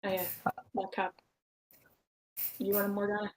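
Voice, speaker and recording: A woman's voice: mid-range voice, completely flat delivery, moderate pace, darkish tone, a little hiss or hum. A woman casually hosting an online table read, giving announcements in an informal, chatty way. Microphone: own microphone in an online voice meeting.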